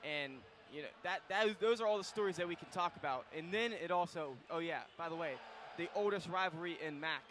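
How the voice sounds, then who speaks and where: A young man hosting a college basketball pregame show on student radio, courtside in a packed arena, talking excitedly and rambling with fillers. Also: fairly high pitch, brisk. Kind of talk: live basketball pregame talk. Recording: faint arena noise behind.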